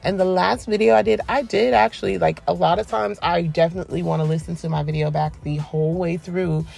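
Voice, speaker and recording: A woman giving a soft-spoken voice-over for a nail tutorial, polite and relaxed, rambling with frequent ums. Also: fairly low pitch, brisk, vocal fry. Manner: soft, quiet, polite phone-etiquette voice. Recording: voice-over with faint background music.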